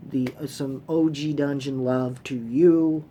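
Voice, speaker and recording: A man's voice: medium pitch, fairly even intonation, warm tone. Rambling man, noisy recording. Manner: rambling, halting, repeats and restarts phrases; casually profane. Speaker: host of a movie trivia fan channel. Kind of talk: casual unscripted vlog commentary. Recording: clearly noisy room sound.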